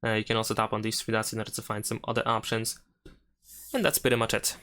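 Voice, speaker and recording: A man's voice: fairly low pitch, flat delivery, fast, a bit bright. A man hosting a tech tutorial, explaining steps in a casual, easygoing way as he goes along. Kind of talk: casual step-by-step tutorial narration.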